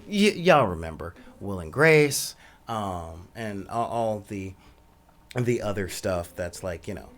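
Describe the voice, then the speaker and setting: Soft-spoken male podcast host with a lowish voice; loose, rambling delivery. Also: lively intonation, takes it slowly, a little hiss or hum. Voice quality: says he has a high voice. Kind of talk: casual monologue.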